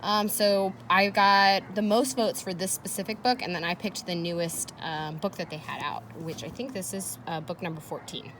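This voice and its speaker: A woman's voice: on the low side, normal speed, few pauses, fairly bright tone. A woman teacher reading a children's chapter book aloud with casual, encouraging asides and questions to her listeners, with background noise. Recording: noisy background, kids playing nearby. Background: ambient noise of children playing.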